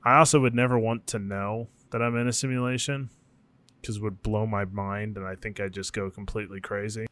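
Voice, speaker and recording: A man's voice: on the low side, steady tone, brisk, fairly bright tone, no echo. Casual male podcaster, dry close sound. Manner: informal, musing, swears casually. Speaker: podcast co-host.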